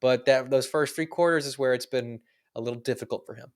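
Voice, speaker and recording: A man co-hosting a sports podcast in a mid-pitched, everyday voice. He talks through his points in a steady, analytical way and drops the odd dry joke. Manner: measured, analytical, dry jokes. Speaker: podcast co-host. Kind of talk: casual sports podcast chat.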